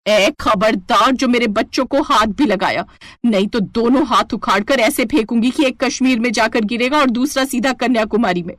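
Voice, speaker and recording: A woman's voice: on the high side, normal speed, fairly bright tone, no echo, clean studio sound, lightly acted. A woman voicing a brave, caring and fiercely protective village wife and mother in a moral story, firm and feisty. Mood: fierce, threatening.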